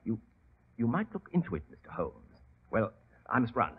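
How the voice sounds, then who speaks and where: An actor playing a nervous, earnest young gentleman in a 1940s radio drama, polite and hesitant. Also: mid-range voice, wildly swooping pitch, normal speed, slight background noise. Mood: earnest, confiding. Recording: dull old radio recording.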